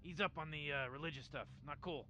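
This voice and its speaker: Cocky rambling scientist grandpa, stammery, dismissive, quick, bright, game dialogue. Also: male voice, on the high side. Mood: smug.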